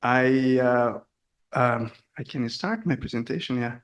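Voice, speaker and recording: A man's voice: mid-range voice, unhurried, clean tone. A middle-aged man from Brazil giving a seminar talk in a hall, hesitant and full of fillers, laughing at himself. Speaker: guest speaker. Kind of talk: academic presentation.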